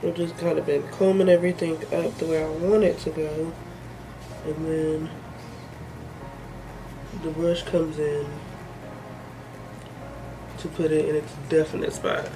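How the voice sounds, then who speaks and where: A woman's voice: sits low, flat delivery, unhurried, pauses often, music in the background, low-quality recording. A woman giving a casual hair tutorial, chatty and joking, sniffly with a head cold. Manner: chatty, joking, talks to herself. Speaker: hair vlogger.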